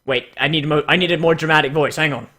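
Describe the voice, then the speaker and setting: A man hosting casual, mocking commentary and dramatic readings, crude, exclamatory and quick to swear. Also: fairly high pitch, steady tone, sharp tone. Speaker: host reading fan characters aloud.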